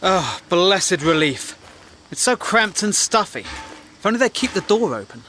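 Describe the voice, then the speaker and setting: A higher-voiced, wry English war correspondent. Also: male voice, very animated, unhurried, pushed and bright, slight background noise, heavily acted. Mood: weary. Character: earnest, probing British war journalist, wry and polite. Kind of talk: period audio drama acting.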